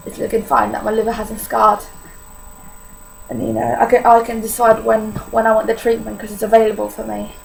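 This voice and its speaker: An eighteen-year-old Polish-accented woman speaks candidly to her camera, her voice pitched a little high and heavily gravelly with vocal fry. She talks informally with plenty of fillers. A faint hum of noise sits behind her. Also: low-quality recording. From Poland. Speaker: vlogger. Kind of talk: personal vlog confession.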